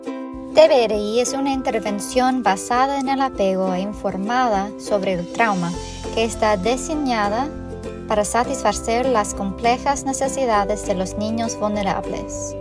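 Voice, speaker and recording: A woman reading a formal, scripted introduction in a deliberate way. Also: on the high side. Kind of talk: scripted narration over music. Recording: music clearly underneath.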